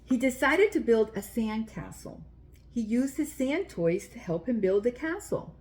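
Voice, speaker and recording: A woman's voice: on the high side, lively intonation, measured pace, darkish tone. A woman hosting a children's story time, reading aloud and speaking directly to young listeners in simple, explanatory language.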